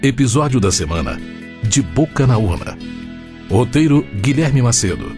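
A man's voice: mid-range voice, big pitch swings, normal speed. A man with a Brazilian accent reading scripted announcements for a radio programme. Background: music.

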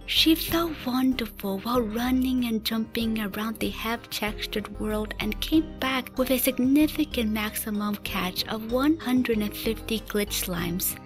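Female narrator for scripted fiction, higher-pitched voice, faint music bed. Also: measured pace, hardly any pauses.

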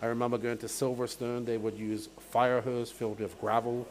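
A male race commentator with a Bermudian accent chats casually to a live stream. His voice sits at a middling pitch. Background noise from the track is faintly audible behind him. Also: little pitch movement, normal speed, a little mellow. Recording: clear background noise, outdoor event ambience.

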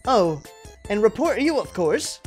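A calm, helpful schoolgirl accomplice from a visual novel , as a man reads her. The voice is fairly high with lively intonation. It stays matter-of-fact, with light music underneath. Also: measured pace. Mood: disappointed threat. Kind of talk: visual novel read-aloud.

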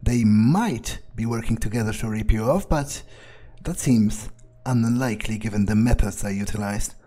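A man's voice: fairly low pitch, moderate pace, mellow. A man roleplaying as his video game character, musing aloud in an aloof, profane way, close to the microphone. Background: faint game music.

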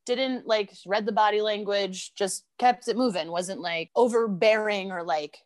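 A woman's voice: mid-range voice, unhurried, dry recording, clean studio sound. A woman with an American accent, a podcast guest chatting casually and laughing often as she tells stories. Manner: laughs often, animated storytelling. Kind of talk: casual chat.

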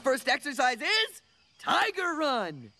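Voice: sits high, wildly swooping pitch, takes it slowly, loud music behind the voice, full character voice. An actor voicing a dim-witted, friendly, eager muscular jungle man in a cartoon, goofy and boastful. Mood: pumped up. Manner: goofy, boastful, third-person simpleton delivery. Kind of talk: animated cartoon acting.

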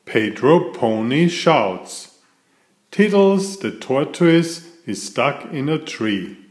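A man reading a picture book aloud to young children in a deliberate, emphatic storytelling style. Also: mid-range voice, sing-song, reverberant.

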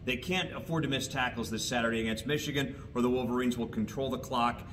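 A man's voice: quick pace, fairly bright tone. A middle-aged man giving wry, detailed sports analysis as a regular guest, recorded on a distant camera in a large indoor hall. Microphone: far camera. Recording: distant mic, rumbling background noise.

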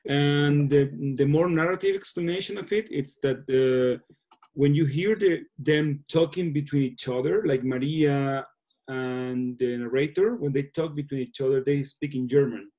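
Mellow-voiced man, halting English. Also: a little high. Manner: halting, searching for words. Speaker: filmmaker being interviewed. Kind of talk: casual Q&A on a video call. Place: small room at home. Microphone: laptop video call.

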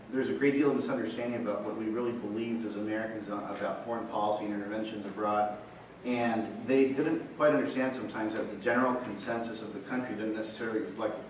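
Panelist, male, measured delivery, roomy distant sound. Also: on the low side, slight background noise. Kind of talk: panel discussion. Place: large conference room. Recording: echoey room, far from mic.

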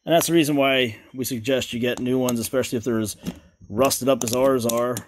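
A man's voice: medium pitch, a little fast, slight background noise. A man hosting a home repair video, talking casually and folksy as he works in a garage. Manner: relaxed, folksy, chatty with fillers. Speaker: DIY video host. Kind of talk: casual how-to explanation.

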